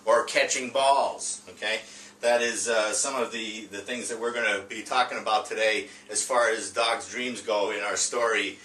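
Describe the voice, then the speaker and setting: A man gives an informal classroom-style lesson in a bright, mid-pitched voice. He is hesitant and halting, often stopping on fillers. The sound is roomy, with the mic some way off. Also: fairly even intonation. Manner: hesitant, halting, with frequent long filler pauses. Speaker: teacher presenting a reading lesson. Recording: roomy, distant mic with faint noise.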